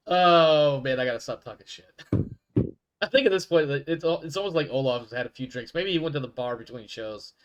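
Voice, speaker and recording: A man hosting an in-character sports league show, animated and quick to correct himself with asides. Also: a little high, muffled recording. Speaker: show host.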